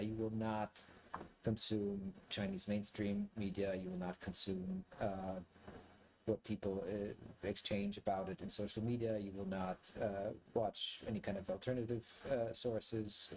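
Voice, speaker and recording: Low-voiced German man thinking aloud. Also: a little mellow, a little hiss or hum, low-quality recording. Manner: rambling, halting, thinking aloud. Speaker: video creator, commentator. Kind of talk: casual reflective monologue to viewers.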